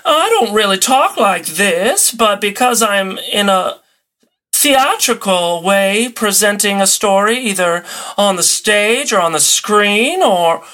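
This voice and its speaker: A pompous theatrical actor from golden age radio, put on by a man. The diction is affected and transatlantic, hoity-toity throughout, and the pitch sits raised. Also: animated, takes it slowly, sharp tone, exaggerated voice. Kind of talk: 1940s radio acting.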